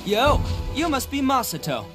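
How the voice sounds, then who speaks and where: An actor voicing a brash, big-hearted young oni gang leader in a video game, boisterous, slangy and earnest. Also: male voice, very high voice, very animated, normal speed. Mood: upbeat, boisterous. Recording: music behind the voice.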